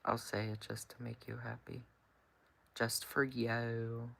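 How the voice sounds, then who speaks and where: A man's voice: mid-range voice, completely flat delivery, slow, gravelly, fairly bright tone. A young man from California with an American accent, chatting casually on a livestream and giving laid-back, offhand replies to viewers. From California, United States.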